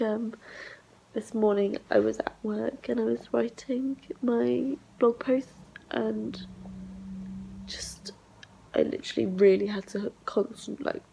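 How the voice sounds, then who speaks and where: A young woman vlogging at home while sick and congested, rambling in a low, half-whispered voice. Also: creaky, warm tone, slightly rough, low-quality recording. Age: young adult. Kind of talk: casual diary vlog. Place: flat, indoors.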